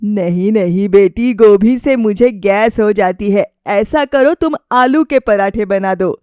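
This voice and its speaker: A woman's voice: medium pitch, slow pace, darkish tone, smooth, dry recording, studio quality, pitched below the performer's own voice, natural voice. Woman putting on an easygoing elderly father-in-law. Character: elderly father-in-law fond of his food.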